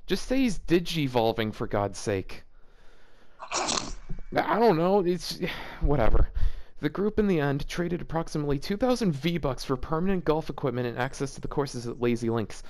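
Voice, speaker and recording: A man's voice: fairly high pitch, expressive, moderate pace, darkish tone. A man hosting a casual read-aloud of fan fiction, breaking it up with incredulous, joking commentary. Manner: incredulous asides breaking up the reading.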